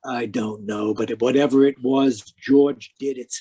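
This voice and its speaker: An actor playing a world-weary, wisecracking private detective in 1940s radio noir style. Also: male voice, medium pitch, steady tone, a little slow, dark tone, husky, no echo. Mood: wry, brooding. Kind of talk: 1940s radio noir acting, first-person narration. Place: home room, live video call performance.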